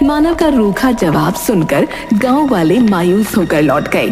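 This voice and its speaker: Animated woman narrating a story over music. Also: medium pitch, a little slow. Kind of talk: scripted dramatic story narration.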